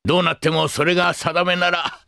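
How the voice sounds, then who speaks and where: An actor playing a wise, accepting old man in an anime-style game, with folksy, deliberate elderly speech. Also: a little high, little pitch movement, gravelly, pushed and bright, smooth, no echo, studio quality. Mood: reflective, resigned. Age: older. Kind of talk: anime video game voice acting.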